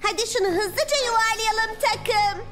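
A woman's voice: extremely high pitch, unhurried. A woman voicing a confident, caring little girl superhero in a cartoon dub, earnest and a bit bossy. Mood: commanding. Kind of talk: Turkish animated cartoon dub. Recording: music behind the voice.

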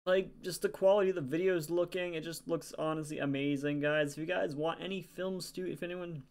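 Tired, gravelly young guy rambling. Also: fairly high pitch, steady tone, fairly quick, darkish tone. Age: young adult. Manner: rambling, chatty, self-deprecating. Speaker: YouTuber. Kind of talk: casual channel shoutouts. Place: small room indoors. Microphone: webcam at desk.

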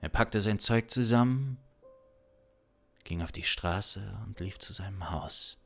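Low-pitched man narrating a dark crime story. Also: takes it slowly. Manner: dramatic, intense. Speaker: improvising audio drama performer. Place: small home studio, indoors. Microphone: close studio condenser mic. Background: instrumental music.

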